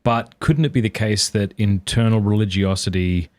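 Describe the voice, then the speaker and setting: A man's voice: lowish voice, normal speed, darkish tone. A man in his late thirties hosting a podcast, asking questions and telling stories about himself in casual conversation. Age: 30s. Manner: questioning, frequent short affirmations. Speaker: podcast host.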